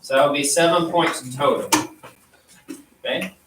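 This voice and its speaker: A man's voice: on the high side, unhurried. A man teaching a class, explaining quiz instructions step by step and checking in with "okay?". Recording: roomy, distant mic, noisy classroom.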